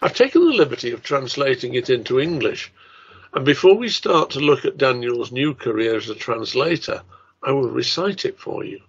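A man in his seventies giving a scripted talk and poetry recital in a measured, formal manner. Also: medium pitch, lively intonation, slightly rough, muffled recording. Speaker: writer and lecturer.

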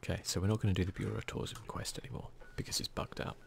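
A British man giving casual, hushed ASMR commentary on a video game, grumbling mildly as he plays. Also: low voice, little pitch movement, rapid-fire, gravelly, slight background noise. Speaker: gaming video host.

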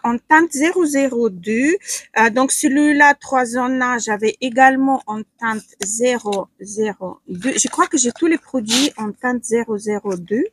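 A Ukrainian-accented woman in her forties talks her viewers through a makeup test at home, pausing with fillers as she works. Her voice sits high and a little breathy. The sound is dry and close, with little room echo. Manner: chatty, hesitant with many fillers while applying makeup. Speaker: beauty vlogger. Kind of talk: casual makeup tutorial chat. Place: small room at home, indoors.

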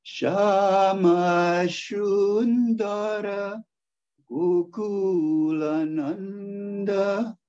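A man's voice: sits high, steady tone, drawn-out words, darkish tone. A man in the role of a spiritual guru, delivering a devotional chant on an online call. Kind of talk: sung Sanskrit devotional chant. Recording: dull, lo-fi internet call.

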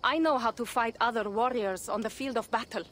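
A woman's voice: fairly high pitch, brisk, a bit bright. An actress playing a wary, plain-spoken young Viking warrior woman in a video game, direct and earnest. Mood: worried. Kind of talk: dramatic video game cutscene acting.

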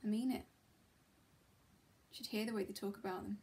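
A woman acting a dramatic monologue as a miserable, disillusioned schoolteacher, confiding and emotionally intense, with halting delivery. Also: medium pitch, fairly even intonation, dark tone, lightly acted. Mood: bitter, agitated. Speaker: actor performing a monologue.

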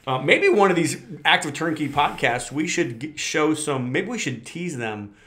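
A man's voice: a little high, normal speed. A man in his forties co-hosting a podcast in casual banter, playful and teasing, on a desk mic in a small office studio. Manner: playful, teasing, animated with hand gestures. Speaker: podcast co-host. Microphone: desk microphone.

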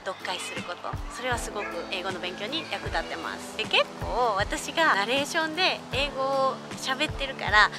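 A woman's voice: on the high side, very fast, few pauses. A young woman with a Kansai accent, chatting animatedly to camera for her vlog while outdoors in a park. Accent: Kansai Japanese. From Japan. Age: young adult. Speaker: vlogger. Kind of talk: casual vlog talk to camera. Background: background music.

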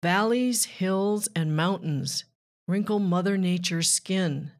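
A woman reading a rhyming children's book aloud with measured, deliberate phrasing. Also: lowish voice, a little mellow. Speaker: children's author and narrator.

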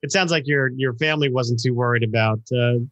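A man's voice: mid-range voice, fairly quick, a bit bright. A middle-aged man hosting a podcast, talking informally and emphatically, often repeating phrases for effect. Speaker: podcast host. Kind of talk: conversational podcast intro and interview.

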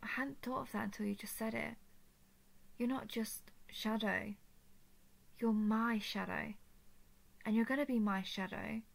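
A woman performs an obsessive female dragon who has taken her love captive. Her high voice is breathy and creaky, and she speaks slowly. She repeats herself pleadingly, unstable between tenderness and desperation, as in an intimate roleplay audio drama. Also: steady tone, full character voice. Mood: possessive, cooing. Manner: pleading, repetitive, swinging between tender and frantic.

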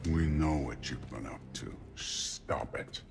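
An actor playing a huge, surly thunder god in a video game, gravelly and laconic, with dry menace. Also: male voice, very deep voice, slow, over music, full character voice. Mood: gruff. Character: huge, surly, drawling male thunder god, mockingly calm. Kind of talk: cinematic video game acting.

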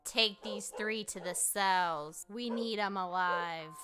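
A woman's voice: medium pitch, takes it slowly, over music, lightly acted. A woman playing a tough, contemptuous prison guard in a radio play, curt and commanding.

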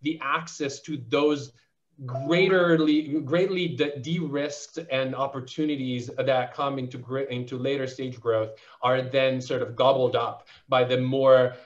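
A man's voice: medium pitch, fairly even intonation, a little slow, highs cut off. A man on a panel discussion explaining his views as a venture investor, articulate but full of restarts and fillers.

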